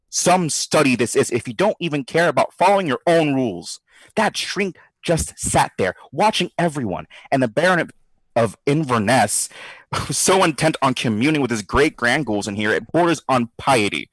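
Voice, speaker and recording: An actor playing a brash, sardonic skeptic who talks in slangy, theatrical quips in an audio drama diary. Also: male voice, medium pitch, animated, moderate pace, no room sound, natural voice, rough recording. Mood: bored, sardonic. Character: brash wisecracking skeptical man, boozy ghost-study participant.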